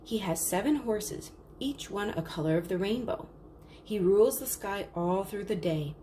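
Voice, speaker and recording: Woman voicing a calm older sister, noisy recording. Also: mid-range voice, normal speed, lightly acted. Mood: calm storytelling. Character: practical, caring older sister, a girl telling tales. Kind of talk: storybook character dialogue. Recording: clearly noisy background.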